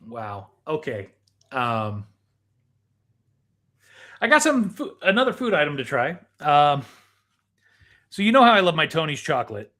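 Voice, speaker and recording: A man's voice: fairly high pitch, sing-song, a little slow, pauses often, pushed and bright. Casual man chatting on a podcast. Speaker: podcast co-host. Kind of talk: casual chat, snack tasting.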